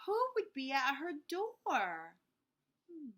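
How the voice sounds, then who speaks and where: A woman reading a picture book aloud to children in an expressive, teacherly way, pausing to explain words and ask questions. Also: high-pitched. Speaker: read-aloud storyteller for children. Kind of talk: expressive picture-book read-aloud with asides to young listeners.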